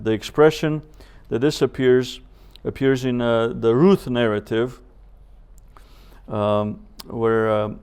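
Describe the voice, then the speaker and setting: A man teaching a Bible seminar as a professor, speaking in a measured way with deliberate pauses, scripture quotations and rhetorical questions. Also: mid-range voice, darkish tone, clean tone.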